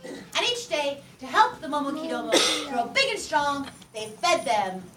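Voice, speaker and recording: A woman telling a story to young children in a playful, lively way with drawn-out emphasis, in a classroom. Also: sits high, crowd noise. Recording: echoey room from far off.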